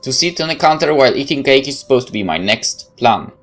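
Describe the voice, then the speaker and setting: A man's voice: mid-range voice, expressive, fairly quick, fairly bright tone. A man doing a let's play, reading a visual novel's narration aloud and adding wry casual commentary, with game music behind him. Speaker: gaming YouTuber.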